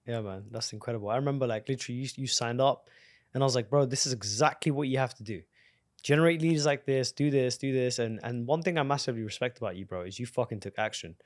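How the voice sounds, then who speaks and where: A man's voice: mid-range voice, fast talker, no room sound, studio quality. A man hosting a podcast, talking in a casual, enthusiastic and profane way, full of bro slang. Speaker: podcast host and business coach. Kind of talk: casual interview conversation.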